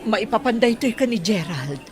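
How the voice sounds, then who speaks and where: A woman's voice: medium pitch, animated, normal speed, darkish tone, lightly acted. A woman playing an anxious older mother in an Ilocano radio drama, speaking plaintively with sighs and earnest longing. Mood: anxious, pleading.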